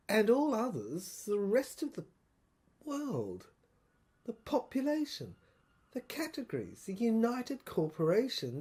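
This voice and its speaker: A woman playing a troubled infantry soldier in an audio drama monologue, speaking in halting, deliberate phrases with a husky voice. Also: medium pitch, warm tone, heavily acted. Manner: halting, broken phrases with deliberate emphasis and repetition. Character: troubled infantry soldier piecing together a secret government document.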